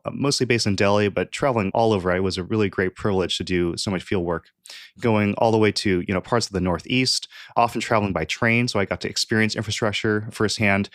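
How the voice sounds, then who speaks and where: A young adult man from California, a guest talking through his background in a relaxed podcast interview, with lots of "you know" fillers and light laughs. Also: fairly low pitch, dry recording, studio quality. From California, USA. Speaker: guest expert.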